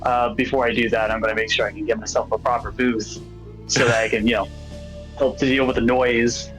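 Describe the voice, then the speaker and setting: A man's voice: medium pitch, little pitch movement, brisk, a bit bright. A man chatting casually as a guest voice actor in an interview. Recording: slightly dull online call, music behind.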